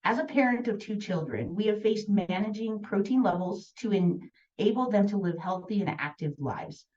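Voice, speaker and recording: A woman's voice: medium pitch, moderate pace. Composed American mother, distant lo-fi sound. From United States. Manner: steady, composed reading. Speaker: parent of two patients. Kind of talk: read prepared statement.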